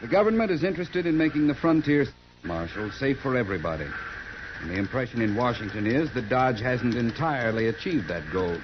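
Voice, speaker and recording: An actor playing a formal, officious government inspector with a clipped manner in a 1950s radio western. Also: male voice, mid-range voice, big pitch swings, a little fast, natural voice. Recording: dull, noisy 1950s radio recording.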